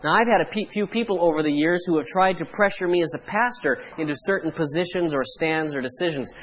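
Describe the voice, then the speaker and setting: A Canadian pastor delivers a sermon in a fairly high male voice. He speaks in a measured way and leans on pauses to stress his points. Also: a bit bright, poor audio. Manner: measured preaching with emphatic pauses.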